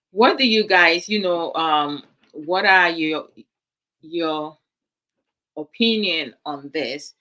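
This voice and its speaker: A woman's voice: deep voice, bright, forceful tone, poor audio. A woman from Ghana, giving casual commentary to her live viewers in a musing, hesitant way with many fillers. Her is Twi. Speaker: vlog host.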